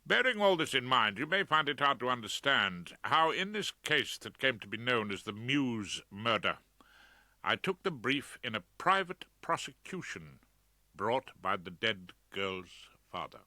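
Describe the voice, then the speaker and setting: Very bright, forceful British man reading aloud. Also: mid-range voice, big pitch swings, measured pace, no room sound. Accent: British English. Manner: dry, wry, orotund storytelling. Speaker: audiobook reader. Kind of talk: audiobook narration of a first-person story.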